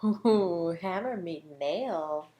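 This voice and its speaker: A woman playing a suave, flirty academic suspected of murder in an audio roleplay, teasing and condescending, drawing out her words with breathy asides. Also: lowish voice, very slow, mellow. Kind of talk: scripted audio roleplay monologue.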